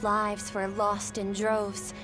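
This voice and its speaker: A woman's voice: medium pitch, monotone, slow pace, lightly acted. A woman voicing an earnest, gracious young noblewoman in a video game cutscene, speaking in a formal, articulate way. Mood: grave, sorrowful. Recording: music behind the voice.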